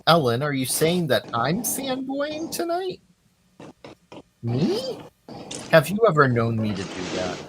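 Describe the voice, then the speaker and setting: Male, craft stream co-host, soothing, calm, self-deprecating, slow casual chat, noisy recording. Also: a little high.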